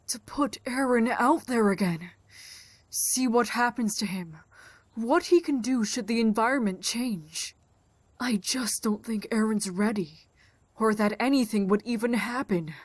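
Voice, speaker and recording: A woman playing a troubled, protective survivor in an audio drama, speaking in a deliberate, brooding way. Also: on the high side, no room sound. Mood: worried, reluctant. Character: protective, foul-mouthed, troubled adult survivor.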